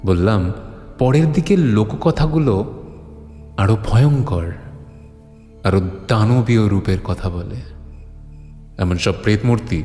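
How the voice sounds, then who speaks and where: A man acting as the grave narrator of a horror audio story, recounting eerie legends with deliberate pauses. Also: lowish voice, mellow, faint music behind. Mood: ominous. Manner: slow, deliberate, grave, pausing often.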